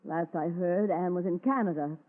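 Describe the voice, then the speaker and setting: A woman playing a frightened, stammering widow on a tinny vintage radio play. Her nervous lines tumble out in short halting bursts. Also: lowish voice, natural voice. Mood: anxious. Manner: stammering, anxious. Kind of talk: 1940s radio acting. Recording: tinny old radio broadcast.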